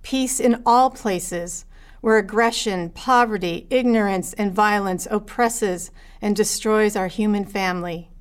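A woman speaks a prayer for peace, slowly and solemnly. Her voice is smooth and clear, and her phrases fall in a measured, repeating rhythm. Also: medium pitch. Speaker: prayer leader. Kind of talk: slow spoken prayer.

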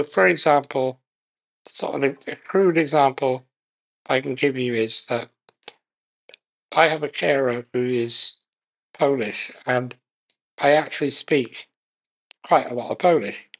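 Man, podcast guest, slow, gravelly, measured, short phrases. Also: mid-range voice, a bit bright, rough recording. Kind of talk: conversational interview.

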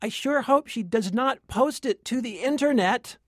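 A woman's voice: fairly high pitch, animated, normal speed, no room sound, clean studio sound. A woman acting as a naive, perky teenage girl singer in a parody radio play.

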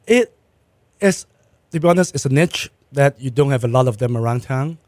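Man, restaurant owner, from Malaysia and raised in Hong Kong; plain and halting, dry close mic. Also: medium pitch, animated, a little mellow, no echo. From born in Malaysia, raised in Hong Kong. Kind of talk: casual interview answers.

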